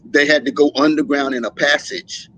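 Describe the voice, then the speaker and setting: A man, a musician guest in a casual interview, telling stories and acting out quotes with lively voices. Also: a little high, moderate pace, a little hiss or hum, low-quality recording. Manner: animated storyteller, acts out quotes.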